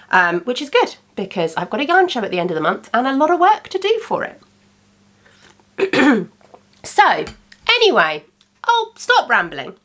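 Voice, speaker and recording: A woman's voice: medium pitch, a bit bright. A woman in her thirties hosting a crafting podcast, chatting casually with many ums while a little congested from a cold. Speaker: podcast host. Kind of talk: casual chat.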